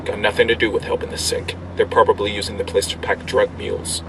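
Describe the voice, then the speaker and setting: An actor playing a dry, cynical soldier squadmate in a video game, speaking deadpan. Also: male voice, fairly low pitch, fast, vocal fry, noisy background. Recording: slightly distant from mic.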